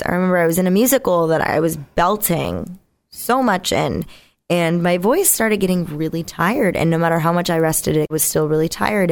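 Naturally raspy, low-pitched woman. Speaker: singer-songwriter being interviewed. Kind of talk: casual conversational interview. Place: radio studio.

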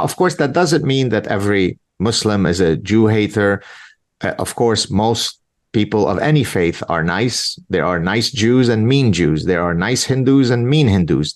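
A man from Lebanon, a native Arabic speaker in his fifties, answering in an interview in an emphatic, lecturing way with rhetorical questions. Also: lowish voice. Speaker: guest commentator.

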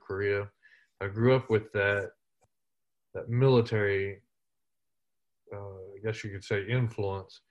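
Man, lighthearted storyteller, lowish voice, dull lo-fi sound. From West Texas. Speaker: interview guest, military veteran. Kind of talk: storytelling in casual interview.

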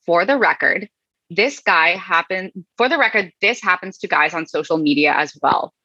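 Self-righteous guy troll lecturing everyone, woman reading it, husky, dull lo-fi sound. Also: fairly low pitch, animated, moderate pace, pushed and bright, no echo, exaggerated voice. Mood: indignant. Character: indignant adult male online troll.

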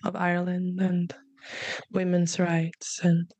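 Young woman, slow and flat, heartfelt. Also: on the low side, no echo. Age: young adult. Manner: soft, hesitant, heartfelt. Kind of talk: reflective conversation.

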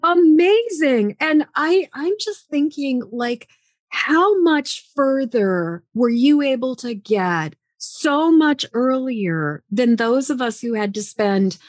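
A woman's voice: sits high, animated, slow pace, no echo, studio quality. A woman hosting a podcast interview, chatty and enthusiastic, rambling with lots of fillers. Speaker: podcast host. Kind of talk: casual interview chat.

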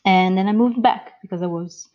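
A woman in her thirties with an Italian accent, a guest on an interview podcast who answers slowly and hesitantly, with many pauses and restarts. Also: mid-range voice, dark tone, muffled recording. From born in the US, grew up in Italy.

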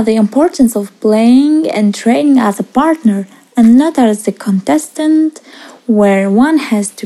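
Slow, earnest woman in her twenties. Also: fairly high pitch, warm tone, poor audio. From Iraq. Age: 20s. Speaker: podcast guest. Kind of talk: prepared personal story, read aloud.

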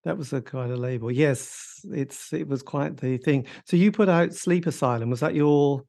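Guy interviewer, mellow darker tone, kinda hesitant questions. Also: fairly high pitch, moderate pace, creaky. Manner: tentative questions with hedges.

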